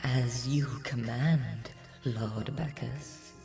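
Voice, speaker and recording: Man acting a slow, servile Martian minion. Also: mid-range voice, fairly even intonation, vocal fry, music in the background. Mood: servile, nervous. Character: subservient male Martian underling.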